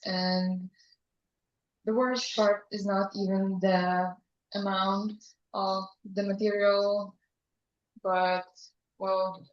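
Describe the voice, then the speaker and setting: A young woman from Lithuania, a student presenter speaking straight to the audience. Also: medium pitch. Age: young adult. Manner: addresses the audience directly. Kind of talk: conference presentation. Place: conference hall. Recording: echoey hall, muffled mic.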